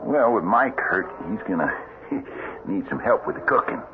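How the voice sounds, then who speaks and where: An actor playing a gruff but decent middle-aged trail boss in an old radio western, courteous and halting, with a deep gravelly voice. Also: male voice, lively intonation. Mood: solemn, reasoning. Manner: hesitant, halting, formal courtesy. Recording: muffled, noisy old radio broadcast.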